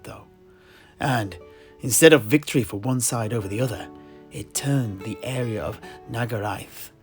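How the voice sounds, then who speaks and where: A man playing a scholarly, genial tavern keeper in a fantasy audio play, telling history in a wordy, theatrical way with deliberate pauses. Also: lowish voice. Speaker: narrator and host of an in-universe audio play. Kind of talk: scripted in-character fantasy storytelling. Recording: plucked guitar music behind the voice. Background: plucked guitar music.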